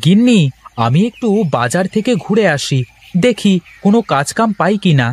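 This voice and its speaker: An earnest, kind-hearted poor husband from a cartoon village tale. His voice is husky and fairly high, with lively, cajoling delivery. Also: moderate pace. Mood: cheerful. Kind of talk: Bengali moral-story cartoon dubbing.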